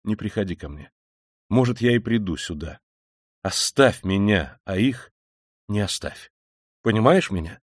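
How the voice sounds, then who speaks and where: A man acts out a tormented, withdrawn young man from a classic novel. He speaks in a lowish, dry voice, halting and abrupt, brooding yet resolute. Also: expressive, no room sound. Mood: grim.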